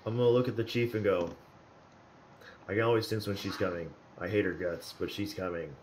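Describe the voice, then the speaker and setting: A man's voice: low-pitched, steady tone, fairly quick, a little hiss or hum. A man playing a tabletop game over voice chat, casually describing his actions and then speaking in character. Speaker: player.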